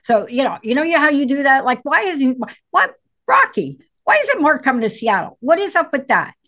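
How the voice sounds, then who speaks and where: A woman's voice: high-pitched, big pitch swings, a bit bright. Bubbly middle-aged woman co-hosting. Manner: playful, bubbly, rapid bursts. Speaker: co-host, psychologist. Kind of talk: call-in radio show chat.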